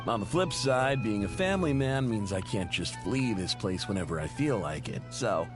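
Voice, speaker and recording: A man's voice: lowish voice, animated, normal speed, natural voice. A man playing an easygoing, modest machinist in a video game, chummy and self-deprecating in his delivery. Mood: modest, amused. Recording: music under the voice.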